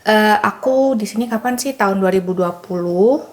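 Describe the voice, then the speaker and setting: Indonesian woman, podcast co-host, medium pitch, casual informal self-introduction. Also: moderate pace.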